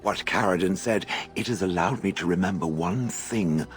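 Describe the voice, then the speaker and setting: A massive ancient golem of stone, voiced by a man, very low in pitch. It talks in a dry, haughty and formal way, deliberately, with faint game music underneath. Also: natural voice. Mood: pensive, formal. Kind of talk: video game character acting.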